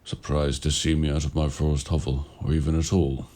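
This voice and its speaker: A man playing a mysterious, courteous warlock of the woods in an audio roleplay, speaking in formal, archaic, measured phrases with a gravelly voice. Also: extremely low pitch, little pitch movement, dark tone. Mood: grave, wry. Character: mysterious, courteous forest-dwelling male warlock. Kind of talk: audio roleplay acting, addressing the listener.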